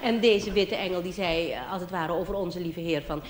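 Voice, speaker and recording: Female sketch comedy partner, mid-pitched, animated and slightly exasperated storyteller, roomy noisy stage recording. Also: normal speed. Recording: echoey hall, noisy old stage recording.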